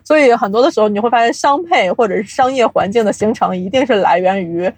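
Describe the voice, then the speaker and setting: A woman speaking casually as an interview guest, explaining things in an animated, chuckle-prone way like an industry insider. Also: a little high, fast talker, no room sound. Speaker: guest, audio drama producer and company CEO.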